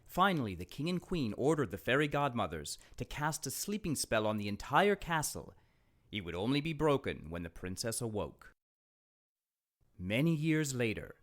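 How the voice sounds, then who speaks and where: A man narrating a children's fairytale as a storybook reader, in a dramatic, expressive way. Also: medium pitch.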